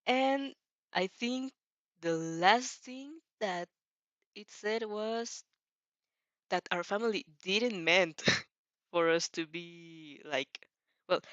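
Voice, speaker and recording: A woman who is a non-native English speaker, hosting a casual fan fiction read-aloud with an informal, halting, giggly delivery and self-corrections. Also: mid-range voice, a bit bright, dry recording, dull audio. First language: not English. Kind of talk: casual reaction chat and story reading.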